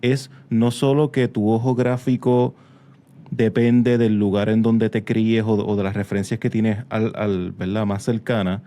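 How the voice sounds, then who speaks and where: A man hosting a casual interview podcast, telling things in an animated way and mixing in English words. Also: on the low side, unhurried. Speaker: podcast host.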